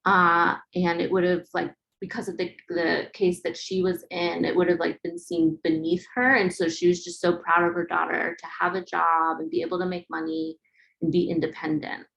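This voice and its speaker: A woman with a somewhat low voice that often drops into gravelly fry presents her project in an online webinar. She talks casually and haltingly, as if explaining pictures to the group one at a time. The style is informal and unscripted. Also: flat delivery, a bit bright, poor audio.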